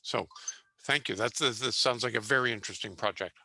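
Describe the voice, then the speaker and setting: Older male publisher, halting and hesitant, dry close sound. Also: medium pitch, vocal fry, sharp tone, no room sound. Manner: hesitant, halting, many fillers and restarts. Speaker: publisher on a pitch panel. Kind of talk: giving feedback on a video call.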